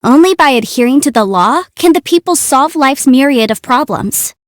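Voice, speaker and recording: A woman voicing a young, quick-witted half-immortal legal adviser in a video game, articulate, self-assured and playful. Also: high voice, animated, no room sound, clean studio sound. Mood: firm, earnest. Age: young adult. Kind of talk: video game character voice lines.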